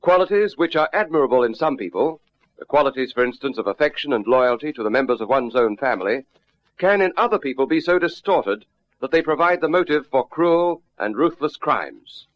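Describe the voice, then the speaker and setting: A man's voice: medium pitch, animated, a little fast, dry recording. A man narrating a radio drama in a grave, formal, dramatic vintage style. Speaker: announcer and narrator. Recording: dull old radio recording. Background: faint music.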